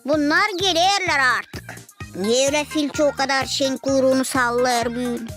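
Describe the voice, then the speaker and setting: Bright, squeaky, cheeky little animal. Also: female voice, moderate pace, soft background music. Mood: chatty. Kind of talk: children's cartoon acting.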